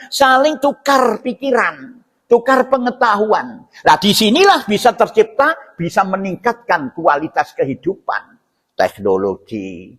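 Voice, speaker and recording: Animated high-pitched Javanese preacher, man. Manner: didactic, rhetorical questions and check-in tags. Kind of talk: informal mosque sermon explaining scripture. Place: inside a mosque. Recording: reverberant hall sound.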